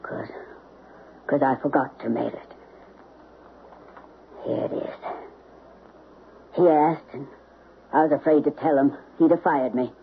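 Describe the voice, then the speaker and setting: An actor playing a nervous, evasive servant, hesitant and stammering, in an old-time radio drama. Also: male voice, medium pitch, takes it slowly, vocal fry, long pauses. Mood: guilty, subdued. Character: nervous, evasive servant afraid of his boss. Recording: tinny, hissy old radio recording.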